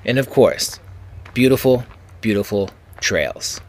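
A man reading a calm, measured voice-over narration. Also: on the low side. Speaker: history YouTuber guest.

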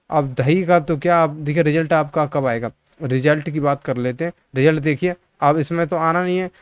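A man's voice: fairly high pitch, darkish tone, clear, resonant tone, no echo. A man, giving a casual, conversational update to viewers into a close microphone. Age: 20s. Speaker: YouTube job-news presenter. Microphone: close microphone in front of mouth.

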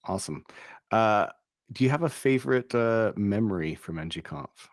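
Low-voiced middle-aged male host. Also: animated, moderate pace, no echo, studio quality. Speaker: interview host. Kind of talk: casual video-call interview. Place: home studio. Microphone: boom studio microphone with headphones.

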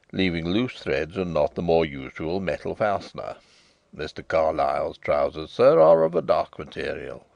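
A man's voice: low-pitched, creaky, darkish tone, dry recording, highs cut off, pitched below the performer's own voice, heavily acted. A man voicing a deferential, precise manservant giving a formal report.

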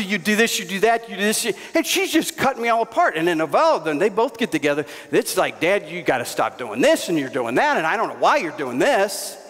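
A middle-aged man preaching a storytelling sermon on a church stage, speaking through a headset mic with animated delivery and sudden raised bursts. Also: sits high. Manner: animated, gestures widely, bursts into raised shouts. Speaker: pastor. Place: church stage before a congregation. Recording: long hall reverb.

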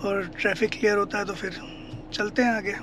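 A man's voice: high voice, level tone, brisk, background noise. Chatty teasing vlogger man. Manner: chatty, teasing, laughs often. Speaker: travel vlogger. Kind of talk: casual family travel vlog. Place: roadside stall, car and family home.

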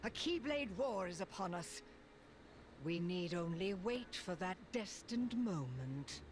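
Haughty villain sorceress, slow theatrical delivery, game acting, clear background noise. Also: female voice, fairly low pitch, animated. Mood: cold, portentous. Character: imperious, menacing evil sorceress.

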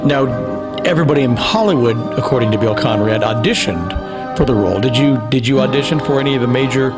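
A man's voice: mid-range voice, animated, fast talker, over loud music. A man conducting a conversational interview, asking questions as the interviewer.

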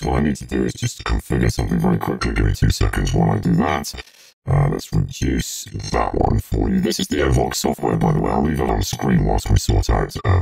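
Man as electronic giant, voice-changer effect, casual halting talk, gravelly croak, faint synth tone behind. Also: a little mellow, distant mic, exaggerated voice, rough recording. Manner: halting, thinking aloud. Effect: pitched-down synthesizer voice changer. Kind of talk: casual live tutorial.

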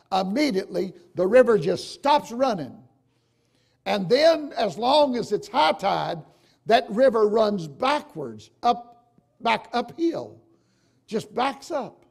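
An older man with a gravelly, raspy voice, preaching a folksy, emphatic sermon to his congregation. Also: sits high. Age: older, 60s or 70s. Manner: emphatic, folksy, addressing listeners directly with questions. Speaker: preacher, church bishop. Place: church sanctuary, at the pulpit.

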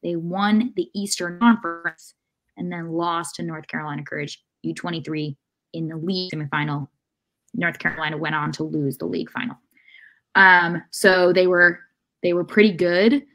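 This is a woman in her thirties with a slightly low voice. She co-hosts a sports show, discussing things casually with dry humor. She speaks from home on a video call. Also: steady tone, moderate pace, a bit bright, low-quality recording. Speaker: podcast co-host and sports analyst.

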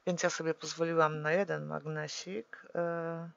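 Gravelly-voiced woman explaining a craft project. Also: sits low, dry recording, highs cut off. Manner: hesitant, full of drawn-out "e" fillers while working; calm, practical instructing. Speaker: papercraft tutorial maker. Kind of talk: step-by-step craft tutorial.

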